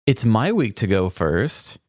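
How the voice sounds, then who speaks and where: A man's voice: lowish voice, sing-song, slow, darkish tone, slight background noise. A man co-hosting a podcast, moving between casual chat and scripted storytelling. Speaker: podcast co-host.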